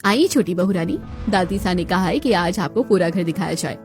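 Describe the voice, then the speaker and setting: A woman's voice: on the low side, expressive, normal speed, soft background music, lightly acted. A woman playing a polite, deferential palace servant who explains things formally in a Hindi moral-story cartoon. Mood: courteous.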